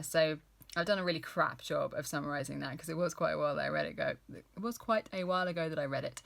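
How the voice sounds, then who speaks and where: A woman's voice: deep voice, creaky, a bit bright. A woman in her thirties chatting casually about books, rambling with many fillers and restarts and laughing at herself. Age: 30s. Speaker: book vlogger. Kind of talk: casual chatty book talk.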